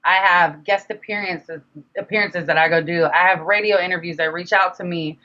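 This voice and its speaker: A woman's voice: fairly low pitch, steady tone, a little fast, sharp tone. A young woman chatting casually as an interview guest, speaking candidly and repeating phrases for emphasis. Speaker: guest, independent music artist. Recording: dull, lo-fi sound.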